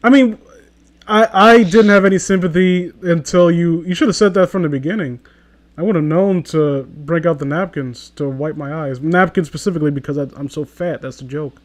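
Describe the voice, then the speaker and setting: A man's voice: on the high side, normal speed. A man hosting a comedy reading, commenting casually as he reads aloud, with a sarcastic, foul-mouthed manner. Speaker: host of a comedy reading channel.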